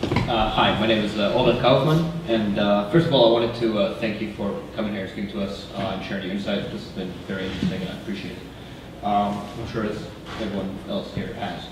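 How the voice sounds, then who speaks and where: A man's voice: fairly low pitch, steady tone, brisk. A young man from Israel, a student, asking a question from the audience in a hesitant way full of fillers. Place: university lecture room. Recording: echoey room, voice from far off, audible noise.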